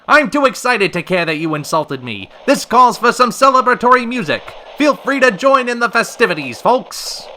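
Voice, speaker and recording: A man playing a flamboyant, excitable old-time radio host with theatrical, polished announcer delivery. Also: very high voice, moderate pace, few pauses, slight background noise, exaggerated voice. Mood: elated. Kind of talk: 1940s radio acting.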